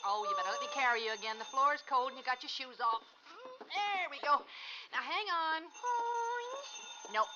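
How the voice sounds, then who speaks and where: Busy, affectionate American young mother, high animated voice, 1950s radio play, dull vintage sound. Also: normal speed, very noisy. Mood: coaxing.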